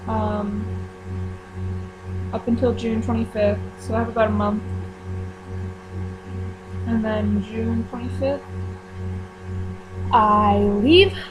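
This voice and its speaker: A woman's voice: fairly high pitch, steady tone, takes it slowly, long pauses, over music, poor audio. A young woman vlogger talking casually, rambling through her plans with many fillers. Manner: rambling, filler-heavy planning talk. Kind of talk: casual chat. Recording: mains hum behind voice.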